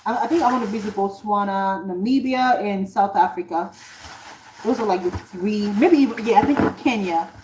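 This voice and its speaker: A woman's voice: mid-range voice, moderate pace, background noise. A woman from Nigeria, an author hosting a casual livestream, chatting and rambling to viewers while she cooks at home. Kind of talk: casual live-stream chat with viewers. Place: home kitchen. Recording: roomy, distant, running tap water.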